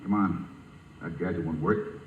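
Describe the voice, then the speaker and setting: An actor playing a curt, impatient, commanding spaceship captain in a 1950s radio drama. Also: male voice, deep voice, measured pace. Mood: brisk, commanding. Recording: muffled, hissy, echoey 1950 radio broadcast.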